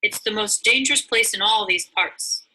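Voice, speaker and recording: Woman acting storybook male guide, bold guardian, very bright edge, raspy, emphatic. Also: mid-range voice, normal speed, poor audio. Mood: grave, emphatic. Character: Mister Greatheart, bold manservant guardian and guide. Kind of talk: storybook character voice.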